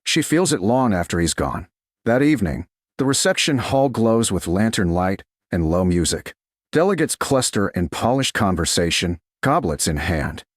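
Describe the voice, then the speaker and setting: A man narrating a scripted romance story in a measured, deliberate way, with short dramatic phrases. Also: sits low, big pitch swings, dry recording, clean studio sound.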